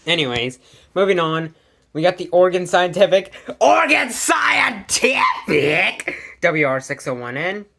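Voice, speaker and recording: A woman's voice: lowish voice, big pitch swings, a little slow, gravelly, fairly bright tone. A woman giving a casual, sweary show-and-tell as host of a collection video, blunt and easily irritated.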